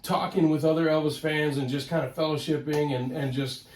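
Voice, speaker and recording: A man with a head cold, sounding nasal, hosting a casual collector vlog from his home office in an excitable, rambling way that repeats words. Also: fairly high pitch, fairly quick, slight background noise. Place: home office, ten-foot ceiling. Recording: roomy home office, mic at a distance.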